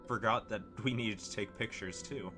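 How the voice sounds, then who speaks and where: A woman's voice: extremely low pitch, normal speed. A woman streaming a video game, reacting casually and reading along aloud. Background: game music.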